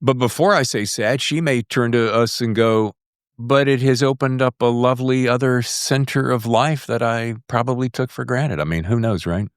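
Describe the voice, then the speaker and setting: A man's voice: medium pitch, moderate pace, clean tone, dry recording, studio quality. A man hosting a conversational podcast interview, effusive, with rambling, winding questions. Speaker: podcast host.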